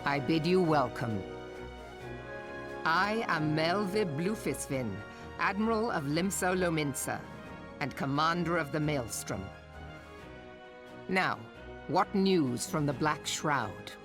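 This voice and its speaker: A woman's voice: deep voice, expressive, takes it slowly, long pauses. A woman playing a stern, dignified admiral in a fantasy game, formal and composed, with music behind her. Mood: stern, courteous. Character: stern, dignified female admiral commanding a navy. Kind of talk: scripted fantasy game acting.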